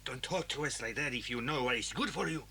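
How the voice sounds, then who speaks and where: An actor playing a bossy, threatening foreign villain with a rough voice in a children's audio drama. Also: male voice, medium pitch, animated, fast talker, pushed and bright, slight background noise. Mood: menacing. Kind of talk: children's radio drama acting.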